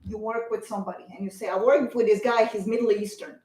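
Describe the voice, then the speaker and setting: A woman's voice: mid-range voice, fairly even intonation, quick pace, dark tone, reverberant. A woman co-hosting a talk show, speaking emphatically and insistently, as if lecturing. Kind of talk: opinionated talk show discussion.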